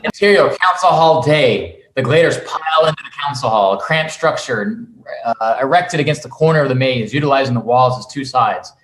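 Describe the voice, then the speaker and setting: Man, medium pitch, screenplay narrator, table read, echoing room. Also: animated, fairly quick, a bit bright. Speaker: reader of stage directions. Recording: echoey room.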